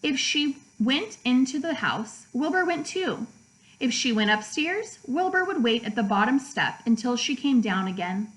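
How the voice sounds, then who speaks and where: Lady reading a kids book out loud, kinda high voice, careful measured phrasing. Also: big pitch swings, low-quality recording. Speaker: teacher reading a children's book aloud. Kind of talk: storybook read-aloud narration.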